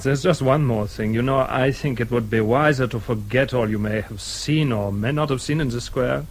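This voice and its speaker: An actor playing an urbane, doubting gentleman in a British radio drama, with a measured, politely condescending manner. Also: medium pitch, a little hiss or hum, natural voice. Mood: courteous, cautioning. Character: urbane, sceptical English gentleman, courteous but doubting.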